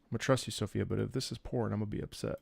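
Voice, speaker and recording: A man's voice: on the low side, steady tone, very fast, gravelly, slightly rough, dry recording. A man giving casual, musing, analytical commentary as a streamer reacting to video game scenes.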